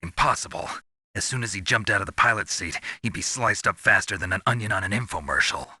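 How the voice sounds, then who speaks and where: An actor playing a tough, cynical special-operations soldier in a video game, gravelly and terse with a dry, world-weary manner. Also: male voice, fairly low pitch, quick pace, bright, forceful tone, dry recording, exaggerated voice. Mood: cynical, firm. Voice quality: gravelly, husky. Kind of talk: dramatic video game dialogue.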